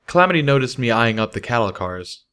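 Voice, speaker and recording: A man's voice: medium pitch, big pitch swings, fast, a bit bright, smooth. A man reading a first-person fantasy story aloud as scripted narration. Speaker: audiobook reader of fan fiction.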